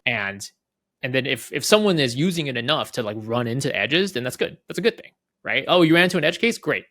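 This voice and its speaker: A man's voice: medium pitch, animated, brisk, fairly bright tone, dry recording, clean studio sound. A man giving casual interview explanations as a guest, thinking aloud and often checking in with "right?". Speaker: head of product, interview guest.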